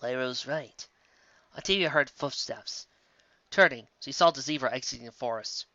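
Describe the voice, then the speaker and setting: A man reading fan fiction aloud in an animated way, giving every character a voice. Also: mid-range voice, brisk, dry recording. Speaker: audiobook reader. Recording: dull lo-fi audio, dry.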